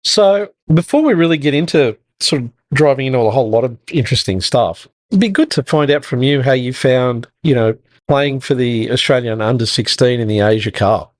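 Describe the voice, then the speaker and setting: A man hosting a sports podcast, reading an introduction and then asking questions in a relaxed way, with "sort of" and "you know". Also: mid-range voice, a little fast, dry recording. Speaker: podcast host.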